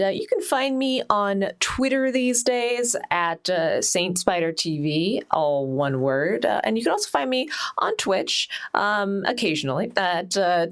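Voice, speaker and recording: A woman's voice: mid-range voice, creaky. A woman playing a tabletop game, asking questions in a tentative way. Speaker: player.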